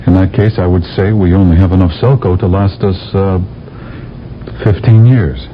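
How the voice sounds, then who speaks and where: A man's voice: low-pitched, fairly even intonation, normal speed, natural voice. An actor playing a modest space captain and intelligence agent, polite and deferential toward his general, in a 1970s radio drama. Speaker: intelligence operative. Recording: dull lo-fi old radio broadcast with faint hiss.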